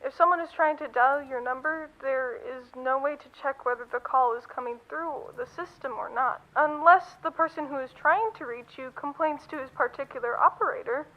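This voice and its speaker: A woman's voice: sits high, moderate pace, few pauses, dull audio, close to an everyday voice. A woman playing a polite, unhelpful telephone operator with a formal, clipped manner in a radio play. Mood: polite, detached.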